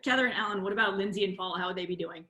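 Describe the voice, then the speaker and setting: A woman's voice: mid-range voice, rapid-fire, pushed and bright. Woman moderating, in a roomy space. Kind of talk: live cast Q&A hosting. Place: indoor room, on a video call. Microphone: video call. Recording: roomy video call audio.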